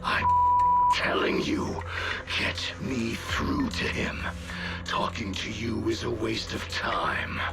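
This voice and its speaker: An actor playing a brutal, arrogant killer in a video game, menacing and hot-tempered, with a gravelly voice. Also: male voice, lowish voice, slow pace, exaggerated voice. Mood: contemptuous, demanding. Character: brutal, arrogant male killer turned cornered henchman. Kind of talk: dramatic video game voice acting. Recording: loud music under the voice. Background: loud music.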